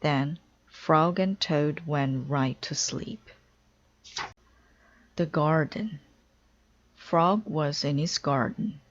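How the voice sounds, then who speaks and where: A woman's voice: deep voice, drawn-out words, pauses often, lo-fi sound. A woman narrating a children's storybook aloud in a careful, simple storytelling style. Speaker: storybook reader.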